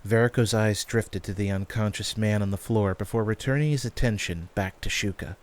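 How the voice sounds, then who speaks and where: A man's voice: on the low side, a little fast. A man working as narrator, reading a scripted fantasy story. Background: background music.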